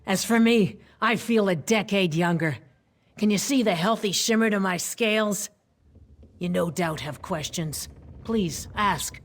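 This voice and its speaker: A woman's voice: medium pitch, unhurried. A woman playing an aging lizardfolk war hero in a fantasy game, chatty, wry and self-deprecating. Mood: wry, cheerful.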